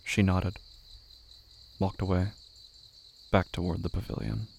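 Man as gravelly, low, somber storyteller. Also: little pitch movement, a little mellow. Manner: measured, clipped phrases. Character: somber, detached storyteller of a horror tale. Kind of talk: scripted literary narration. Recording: faint cricket-filled background noise.

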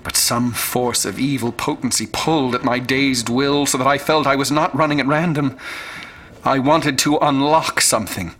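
An actor playing a haunted explorer in a radio horror drama, narrating a terrifying ordeal with intense, deliberate and sometimes hushed phrasing. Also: male voice, medium pitch, steady tone, lightly acted. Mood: driven, urgent. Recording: clear background noise and ambience.

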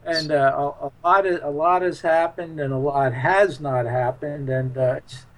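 A man chatting casually as an interview guest, halting often as he searches for words. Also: a little high, steady tone, poor audio. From United States. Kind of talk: casual unscripted interview chat.

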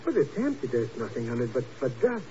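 An actor playing a nervous, excitable, rather dim comic sidekick in a 1950s radio drama. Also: male voice, medium pitch, a little fast. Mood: bewildered. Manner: bewildered, malapropism-prone. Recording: muffled, hissy old radio recording.

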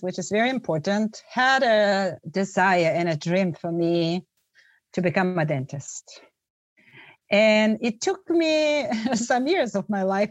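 A middle-aged woman speaks as a podcast guest. Her words are reflective and earnest, and she often restarts a phrase before she goes on. Her voice sits in a middle register and sounds dry and close, with no room echo. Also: medium pitch. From Bulgaria. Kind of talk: conversational storytelling.

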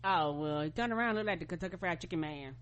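A man's voice: high voice, fast talker, a bit bright. A man co-hosting a casual comedy podcast, speaking in slangy, mocking, profane banter.

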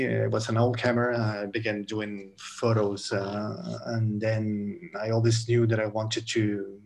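A man from Venezuela, a guest artist in a podcast interview, who talks in a halting way, repeating words and searching for phrases. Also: fairly low pitch, creaky.

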